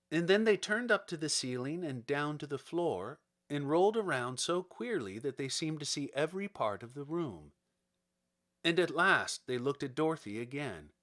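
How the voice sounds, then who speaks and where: Male audiobook narrator for a classic storybook: slow, bright delivery with plenty of melodic movement. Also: fairly high pitch. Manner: expressive, measured.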